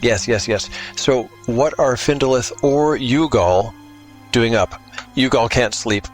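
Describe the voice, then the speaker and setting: A man with a medium-pitched voice chats casually as a story-game player. He thinks aloud haltingly over faint music. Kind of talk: casual collaborative story planning.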